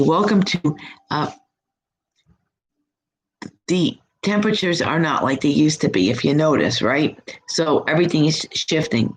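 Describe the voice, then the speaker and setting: A hoarse, husky middle-aged woman hosting a live stream as a psychic reader, chatting in a rambling, upbeat way from her home. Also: low voice, low-quality recording. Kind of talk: casual live-chat monologue.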